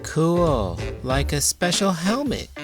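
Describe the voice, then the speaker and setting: A man's voice: fairly high pitch, very animated, takes it slowly, loud music behind the voice, pitched above the performer's own voice. A man voicing a curious, innocent little boy who is eager and inquisitive, in a read-aloud storybook.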